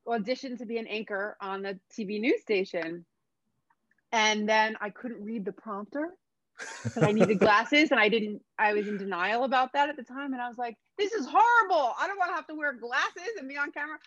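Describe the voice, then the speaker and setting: A woman's voice: on the high side, moderate pace, fairly bright tone, no room sound, highs cut off. A woman from New Jersey, a nutrition coach, chatting casually as a podcast guest, laughing easily and acting out things she once thought. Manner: chatty, laughs easily, acts out her past self in quotes. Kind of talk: casual interview chat.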